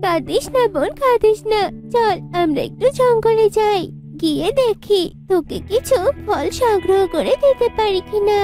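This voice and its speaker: Very high-voiced young bird sister by a woman. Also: lively intonation, normal speed, a little mellow. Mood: soothing. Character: protective older bird sister, young girl. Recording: music behind the voice.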